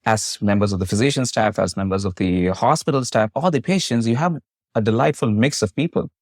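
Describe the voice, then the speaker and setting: Male doctor host, English not his first language, careful formal questioner, low voice, dry close sound. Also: a little fast, dry recording. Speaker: host, physician. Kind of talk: podcast interview, asking questions.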